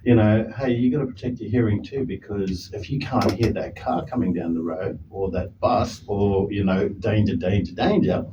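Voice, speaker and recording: A man hosting a radio interview, chatting casually with a relaxed, conversational "you know" style. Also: fairly low pitch, moderate pace, hardly any pauses, distant mic, lo-fi sound, noisy background. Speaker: radio host. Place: radio studio.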